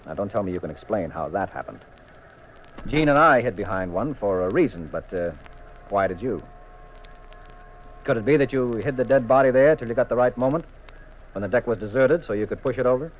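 An actor playing a confident, teasing American husband detective in a 1950s radio mystery, with a wry, assured manner. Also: male voice, mid-range voice, animated, fairly quick, long pauses, a little hiss or hum, close to an everyday voice. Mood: probing, accusing. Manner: wry, assured, leading questions. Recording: muffled vintage radio recording.

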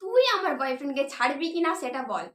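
A woman's voice: high voice, expressive, quick pace, fairly bright tone, reverberant. A woman making a prank phone call, confronting the other person with accusations. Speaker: prank caller, channel host.

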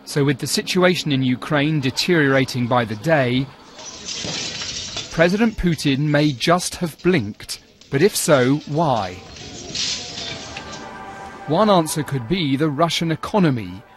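A man reporting from abroad as a news correspondent, in a measured, formal delivery. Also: a little high, animated, over music.

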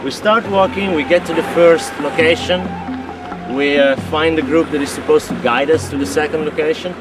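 Man, fairly high voice, firm, emphatic, expedition crew member, music behind. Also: fairly even intonation, normal speed. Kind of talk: documentary account and on-location talk. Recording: distant mic under music.

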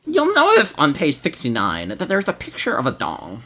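Stern anime-parody teacher acted by a woman, on the low side, deadpan, hugely varied pitch. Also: moderate pace, heavily acted. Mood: stern, instructing. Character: stern schoolteacher addressing a class. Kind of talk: parody anime fandub acting.

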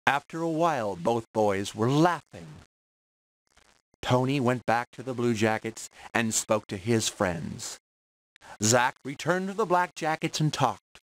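A man reads a children's storybook aloud. His pace is very slow and measured, with a mid-range pitch. The delivery is clearly scripted, pitched at young children. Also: expressive, low-quality recording. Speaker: storybook narrator.

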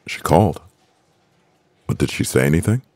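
A man acting a composed, doting CEO boyfriend in an ASMR roleplay, speaking in a measured, gravelly voice. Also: expressive, darkish tone, no echo. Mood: composed, businesslike. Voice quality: very deep, gravelly. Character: powerful male CEO boyfriend, composed, doting, gently teasing.

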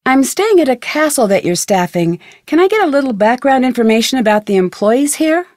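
A curious, friendly young woman detective from a video game. Her American voice sits fairly high, with a chipper, inquisitive delivery. The sound is very dry, as in scripted game dialogue. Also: big pitch swings, fairly quick, darkish tone, no room sound. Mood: curious, polite. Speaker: video game heroine and tutorial guide.

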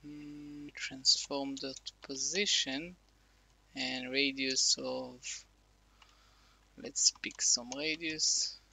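A man slowly talking through a coding tutorial, thinking aloud with many fillers. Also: mid-range voice, steady tone, vocal fry, sharp tone, husky, poor audio. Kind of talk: casual screen-recorded coding tutorial.

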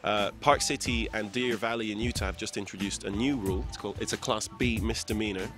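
Fast-talking young man co-hosting outdoors. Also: fairly low pitch, fairly even intonation, over music. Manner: fast, chatty, swears when shocked. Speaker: show co-host. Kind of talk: casual studio chat. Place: outdoor bench at a snowy resort.

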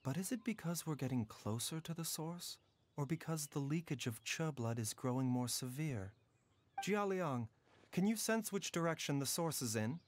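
A man voicing a calm, courteous doctor who speaks in a measured, formal way. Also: a little high, a little hiss or hum, close to an everyday voice. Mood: thoughtful. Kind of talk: video game voice acting. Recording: game audio with soft music.

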